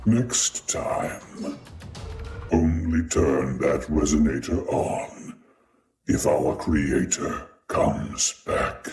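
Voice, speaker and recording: A man playing an ancient, calm robot master in a game cutscene, speaking in a measured, formal way. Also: rumbling low, exaggerated voice. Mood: stern, patient. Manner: slow, measured, formal speech. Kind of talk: scripted game cutscene dialogue. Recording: echoey, music behind.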